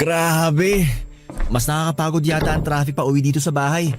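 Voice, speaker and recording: A man's voice: fairly high pitch, little pitch movement, brisk, natural voice. A man playing a weary young husband. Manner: weary, pleading, flustered when pressed. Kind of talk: radio drama acting. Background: background music.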